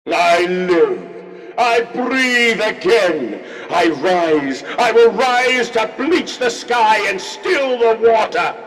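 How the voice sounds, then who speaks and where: An actor playing a brooding, messianic machine god in a horror game, with solemn, incantatory delivery. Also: male voice, sits high, lively intonation, drawn-out words, a bit bright, exaggerated voice. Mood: fervent, exultant. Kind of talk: theatrical horror game monologue. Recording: distant voice in long echoing hall.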